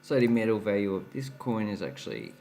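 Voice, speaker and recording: Chatty low-voiced man. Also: sing-song, brisk, warm tone, a little hiss or hum. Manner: chatty, explains while handling coins, laughs at his own slips. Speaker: coin collecting channel host. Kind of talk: casual show-and-tell vlog.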